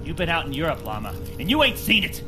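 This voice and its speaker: An actor with an American accent playing a bigoted, sneering captive militia sergeant in a radio drama. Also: male voice, a little high, wildly swooping pitch, fast. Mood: sneering contempt. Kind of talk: 1940s-set radio drama acting. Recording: music behind the dialogue.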